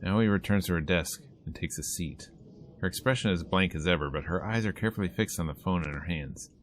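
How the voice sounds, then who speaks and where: A man's voice: low-pitched, brisk, a little hiss or hum. A man streaming a visual novel, reading the narration casually and adding wry, informal asides. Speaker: gaming streamer.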